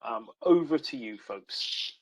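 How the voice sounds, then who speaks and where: A man's voice: medium pitch, lively intonation, takes it slowly, slightly rough, poor audio. A man hosting an online event and handing over to questions. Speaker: host.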